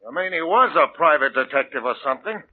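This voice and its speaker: An actor playing a brash, loud male airport worker, in 1940s radio drama style. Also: on the high side, animated, fast, lightly acted. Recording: tinny, noisy old radio broadcast.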